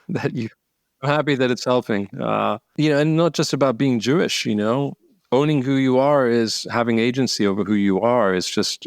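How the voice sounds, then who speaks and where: A man's voice: on the low side, vocal fry, dry recording, studio quality. A man talking bluntly and emphatically as an interview guest, swearing for emphasis. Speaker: guest speaker. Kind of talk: conversational interview.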